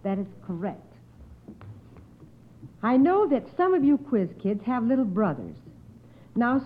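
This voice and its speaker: A woman's voice: on the high side, narrow, tinny audio. A woman hosting a 1950s radio quiz for children, encouraging and acknowledging each answer, on an old radio recording. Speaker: quiz show host.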